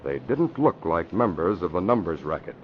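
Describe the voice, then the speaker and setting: A man's voice: low voice, very animated, moderate pace. A man announcing and narrating a 1940s radio drama with a dramatic, emphatic delivery. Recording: dull hissy old radio recording.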